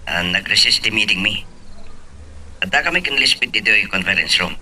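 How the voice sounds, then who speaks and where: A man's voice: fairly low pitch, expressive, fairly quick, bright, forceful tone, full character voice. A man playing a polite, deferential male office employee in an Ilocano radio drama.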